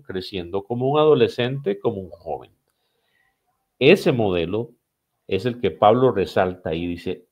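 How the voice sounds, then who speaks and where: A man's voice: fairly low pitch, a little mellow. A man with a Costa Rican accent explaining things as a podcast guest, in a didactic way with short, emphatic, repeated phrases. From Costa Rica. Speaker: missions director, podcast guest. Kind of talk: explanatory teaching in a podcast conversation.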